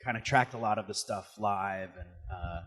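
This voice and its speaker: A man chatting casually as an interviewee, loose and halting as he thinks aloud. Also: fairly low pitch, fairly bright tone, husky. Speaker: band guitarist and singer, interviewee.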